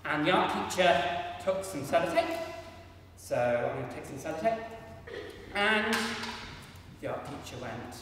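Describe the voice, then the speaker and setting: A young man giving an energetic, self-deprecating maths demonstration on stage in a large hall, chatting with the audience while using a handheld mic that he sometimes puts down. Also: on the high side, measured pace, long pauses, recorded from a distance, noisy background. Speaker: maths performer at a variety show. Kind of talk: live stage talk with hands-on demonstration. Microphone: handheld mic, sometimes set down. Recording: echoey hall, voice picked up from afar.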